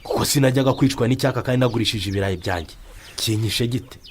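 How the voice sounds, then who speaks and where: A man's voice: mid-range voice, fast talker, slight background noise, close to an everyday voice. A Rwandan man playing an irritable, argumentative, hard-drinking husband in a radio drama. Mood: angry. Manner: exasperated, argumentative. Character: irritable hard-drinking husband who lost his bicycle.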